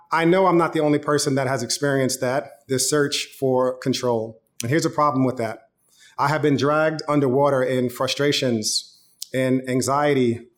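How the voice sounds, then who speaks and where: A man in his thirties preaches an online sermon like he is chatting with friends, moving easily into personal stories. His voice sits at a middle pitch, neither deep nor high. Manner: casual, conversational preaching with storytelling. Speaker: pastor.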